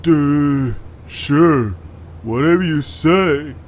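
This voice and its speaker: An actor playing a gruff, dim-witted and grumpy creature guard in an audio drama. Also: male voice, fairly high pitch, extremely slow, slight background noise. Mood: dopey.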